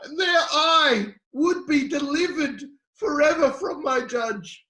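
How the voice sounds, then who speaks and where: A man playing an anguished, devout sufferer, bitter yet defiant, in an impassioned, halting dramatic scripture reading. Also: very high-pitched, exaggerated voice. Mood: anguished pleading. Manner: impassioned, halting delivery swinging from cries to hushed lines. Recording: echoey room.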